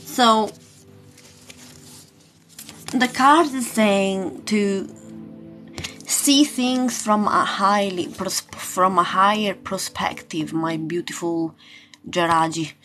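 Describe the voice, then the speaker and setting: A woman chatting informally as a livestream tarot host, unhurried and pausing, with loose, sweary phrasing. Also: medium pitch, a bit bright. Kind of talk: casual livestream chat with card readings. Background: music.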